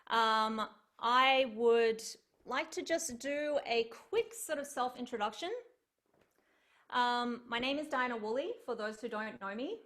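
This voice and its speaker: A woman's voice: fairly high pitch, fairly bright tone. A young woman giving an online lecture as a cellist, chatty and earnest, with frequent ums, over a video call. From Australia. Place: home room on a video call.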